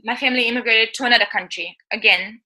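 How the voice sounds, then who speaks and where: Woman from eastern Europe, non-native English speaker, thirties, bright clear voice, fairly high, halting with fillers. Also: low-quality recording. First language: not English. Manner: hesitant, many fillers and restarts, laughs often. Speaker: guest. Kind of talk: first-person interview account.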